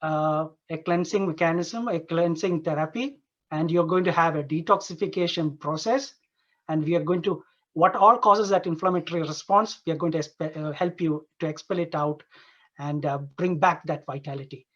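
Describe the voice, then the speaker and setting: A man from Dubai giving an informal conference presentation as an Ayurveda doctor, earnest and halting, often repeating and rephrasing his phrases. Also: fairly high pitch, fairly bright tone. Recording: dull, lo-fi online conference audio.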